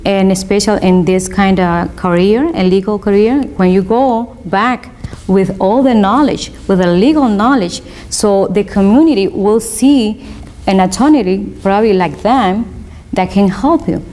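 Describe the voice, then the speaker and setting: Woman, Spanish accent, law student in an interview; sincere, encouraging, halting second-language phrasing, mid pitch. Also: dark tone. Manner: earnest and motivational, halting non-native phrasing. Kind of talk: interview, personal testimony.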